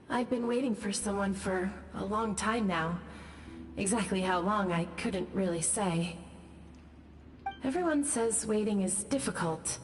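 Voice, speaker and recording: A woman voicing a gentle, resigned, wistful woman in a video game, speaking politely with a melancholy air. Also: mid-range voice, natural voice. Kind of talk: video game character dialogue. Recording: music behind the voice. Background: game music.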